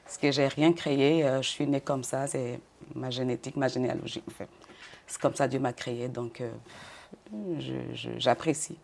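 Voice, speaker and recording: An older woman with a notably low voice, originally from Côte d'Ivoire, answers questions in an interview. She speaks in a measured way and often doubles her words as she gathers her thoughts. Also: flat delivery, vocal fry, darkish tone, a little hiss or hum. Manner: measured, repeats words, brief laughs. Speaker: interview guest.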